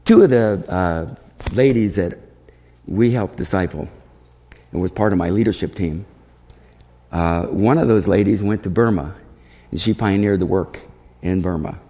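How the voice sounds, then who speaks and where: A man speaking as a missionary, giving a storytelling testimony to a congregation in an animated way, acting out dialogue. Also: low voice, a little slow, pauses often. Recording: reverberant hall.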